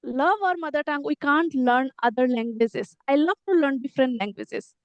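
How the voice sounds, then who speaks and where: A woman's voice: high-pitched, normal speed, no echo, dull audio. A middle-aged woman, explaining earnestly as a teacher and repeating herself to make her points. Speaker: English teacher. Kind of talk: conversational English lesson dialogue.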